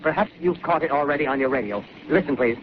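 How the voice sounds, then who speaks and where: An actor playing an on-the-spot radio reporter in a 1930s radio drama, talking in urgent, halting live commentary. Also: male voice, on the high side, close to an everyday voice. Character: excitable on-the-spot radio reporter, adult man. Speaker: field reporter. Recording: dull, hissy 1930s radio broadcast.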